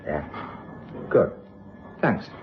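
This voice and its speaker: An actor playing a brusque, sardonic British chief inspector in a radio crime drama, gruff and given to barking orders. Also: male voice, very slow. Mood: terse, urgent. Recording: muffled, noisy radio drama recording.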